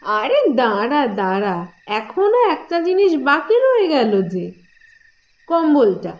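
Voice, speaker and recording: A woman's voice: high-pitched, big pitch swings, unhurried, dull audio. A woman voicing a sly, bossy girl, the elder sister in a cartoon, with a coaxing, theatrical manner. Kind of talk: cartoon voice acting in a moral tale.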